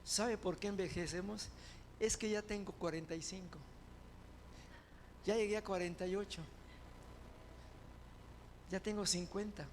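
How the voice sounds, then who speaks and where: A man preaching a sermon as pastor, building to loud fervent proclamations and dropping into quick conversational asides. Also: high-pitched, fairly even intonation, a little hiss or hum.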